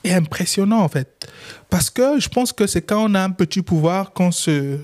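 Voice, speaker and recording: Casting: young Chadian man, slightly high voice, lively storyteller who acts out quotes, accented French. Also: moderate pace. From Chad. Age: young adult. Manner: animated, acts out quoted dialogue. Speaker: guest lawyer. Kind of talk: casual interview storytelling.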